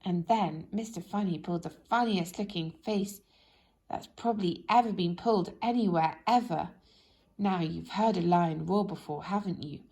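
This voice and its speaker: A woman reading a children's story aloud in an expressive, animated way, speaking directly to young listeners. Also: lowish voice, moderate pace. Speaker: storybook reader.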